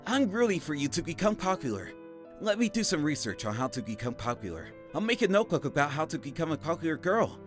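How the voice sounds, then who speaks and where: A man voicing a shy, bookish teenage high school boy in a manga dub, self-effacing and expressive. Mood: supportive. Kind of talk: manga dub narration and dialogue. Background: background music.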